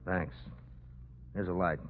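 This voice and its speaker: Here is a smooth-mannered nightclub crook from old crime radio. He talks low, flippant and unruffled under pressure. The recording is dull, with light noise. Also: flat delivery, takes it slowly. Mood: cool, flippant. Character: cool, cocky male nightclub-owner crime suspect. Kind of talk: 1940s-50s radio crime acting.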